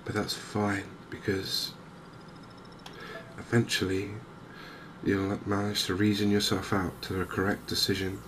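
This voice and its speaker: A man softly narrating a game in ASMR style, hushed and hesitantly thinking aloud. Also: fairly low pitch, completely flat delivery, unhurried. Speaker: gaming video host. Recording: noticeable background ambience noise. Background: game ambience.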